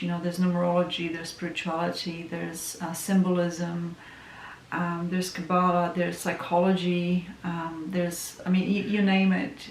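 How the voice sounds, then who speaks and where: A woman with a Romanian accent chats casually about herself, filling pauses with soft ums. Her voice sits low and stays fairly level in pitch. She is recorded in an echoey room with a faint hiss behind her. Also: vocal fry. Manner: chatty, many ums, soft and musing. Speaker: tarot reader and astrologer. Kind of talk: casual self-introduction vlog.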